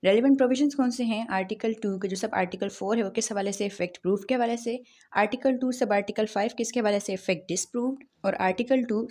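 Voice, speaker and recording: A woman's voice: a little high, darkish tone. A woman with a Pakistani accent explaining like a law lecturer, didactic, often posing questions and answering them herself.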